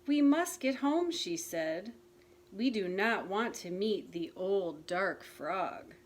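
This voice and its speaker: A woman's voice: medium pitch, animated, takes it slowly. A woman voicing an anxious mother frog in a children's story. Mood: worried. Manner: anxious urging.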